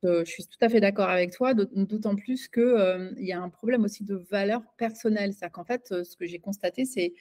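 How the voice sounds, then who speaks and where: A woman's voice: mid-range voice, a little fast, hardly any pauses, darkish tone. A woman giving an interview as a coach and author, talking in a hesitant way with many fillers and restarts. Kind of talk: casual conversational interview.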